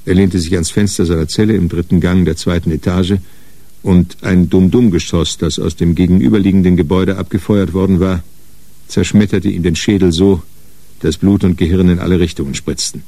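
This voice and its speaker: A man narrating a radio crime drama in a sober, matter-of-fact, measured way. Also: deep voice, steady tone, mellow, no room sound. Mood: sober, grim. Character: sober narrator of a hard-boiled crime story.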